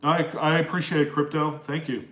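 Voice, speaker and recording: A man's voice: a little high, fairly even intonation, normal speed, a bit bright, roomy sound. A nasal-voiced young man from Maryland hosting a casual live Q&A, friendly and rambling as he reads out viewer names and answers their questions. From East Coast US, Maryland. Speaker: live stream host answering chat questions.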